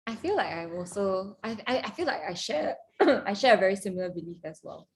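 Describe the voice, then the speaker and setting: A woman's voice: medium pitch, very animated, brisk, slight background noise. A woman from Singapore with a Singaporean accent, a firefighter chatting casually and laughing in a group video call. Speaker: firefighter and discussion host.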